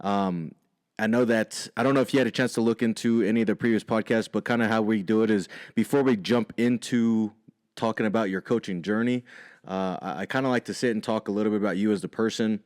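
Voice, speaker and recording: A man's voice: on the low side, steady tone, fast, a little mellow. A man hosting a podcast, welcoming his guest in a friendly, rambling way with many fillers. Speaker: podcast host. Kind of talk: casual interview hosting.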